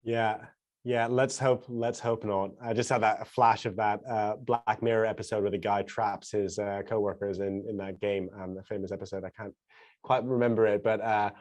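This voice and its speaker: A man's voice: fairly low pitch, steady tone, normal speed, darkish tone. A man hosting a casual, reflective discussion, searching for his words as he goes. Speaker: host.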